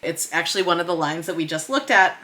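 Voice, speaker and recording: A woman with a slightly low, bright, forward voice talks through a lesson in an informal vlog style. She thinks aloud as she goes, leaning on key words with emphasis and a self-deprecating streak. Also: animated, quick pace. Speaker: screenwriter and director teaching script revision. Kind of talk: informal explainer vlog about script revision.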